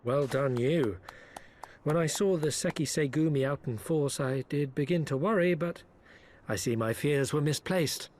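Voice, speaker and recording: An actor playing a polite, suave merchant in a fantasy game, with formal and ornate phrasing. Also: male voice, fairly high pitch, moderate pace, darkish tone, natural voice. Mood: relieved. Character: polite, genteel male trading company merchant. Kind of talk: video game cutscene acting.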